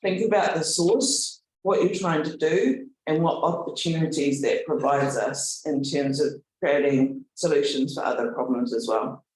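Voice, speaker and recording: A man's voice: fairly high pitch, a little slow, hardly any pauses, a little mellow. A man with a New Zealand accent gives a formal launch speech as a university leader, earnest but slipping in light self-deprecating jokes. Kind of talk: formal welcome speech with jokey asides. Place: large event room with an audience. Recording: very echoey room, voice from far off.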